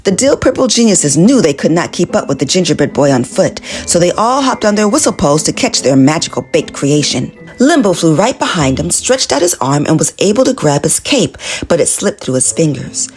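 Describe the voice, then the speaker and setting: Lively lower-voiced woman reading a kids' story. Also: a little fast, few pauses, faint music behind. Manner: animated, expressive read-aloud. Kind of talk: scripted children's story narration.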